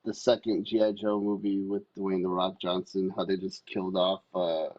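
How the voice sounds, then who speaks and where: A man's voice: low voice, flat delivery, moderate pace, dry recording, dull audio. A man on a podcast panel, chatting casually and bluntly. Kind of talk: casual chat.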